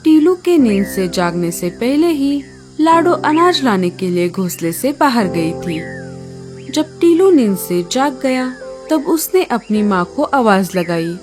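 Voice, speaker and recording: A woman's voice: on the high side, very animated, a little slow, darkish tone, faint music behind. A woman narrating a scripted children's story.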